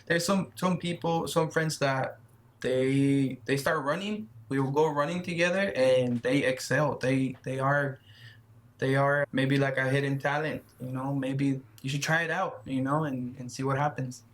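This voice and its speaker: A man in his thirties, a guest runner in a casual interview, talking haltingly with repeated words and shy laughs. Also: medium pitch, fairly even intonation. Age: 30s.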